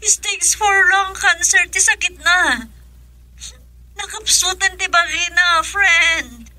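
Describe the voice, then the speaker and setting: A very high, piercingly bright voice of a distressed woman friend in a radio drama. She brings grave news, over a slightly noisy track with clicks. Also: slow pace, heavily acted. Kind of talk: Ilocano radio drama acting.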